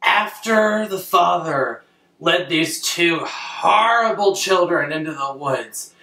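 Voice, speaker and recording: A young man delivers a mock bedtime story with crass, pompous theatricality. His voice is bright and unhurried, picked up off-mic in an echoey room. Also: a little high, lively intonation, distant mic. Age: young adult. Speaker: storyteller playing a crass father. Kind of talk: comic bedtime-story narration. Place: small bedroom, indoors.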